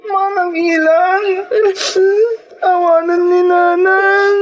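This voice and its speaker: An actress playing a bereaved young child in a radio drama, sobbing and whining, with an Ilocano accent. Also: female voice, extremely high pitch, little pitch movement, very slow, muffled recording, heavily acted. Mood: sobbing, bereft. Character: frightened, bereaved young child crying for mother.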